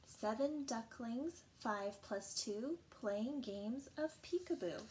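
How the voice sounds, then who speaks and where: Young woman teacher, storytime for little kids, patient and soft-toned, faint hiss behind. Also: on the high side, lively intonation, low-quality recording. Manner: slow, patient, sing-song counting. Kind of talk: reading a picture book aloud and counting for young children. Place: small room indoors.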